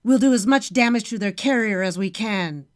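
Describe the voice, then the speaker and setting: A woman's voice: mid-range voice, moderate pace, natural voice. An actress playing a defiant female colonel who leads her fighters in a fan audio drama. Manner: defiant and commanding.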